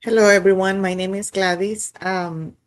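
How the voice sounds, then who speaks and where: A woman's voice: mid-range voice, little pitch movement, normal speed. Woman panelist from Mexico, reads points out plainly. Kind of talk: reading points aloud.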